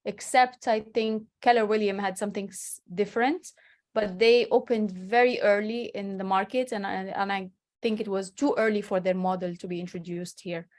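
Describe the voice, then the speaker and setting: A woman's voice: medium pitch, steady tone, moderate pace, a little mellow. A woman, answering interview questions over a video call in a chatty, explanatory way with many restarts. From Morocco. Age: 30s. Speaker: guest real estate agent. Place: home with a city skyline behind.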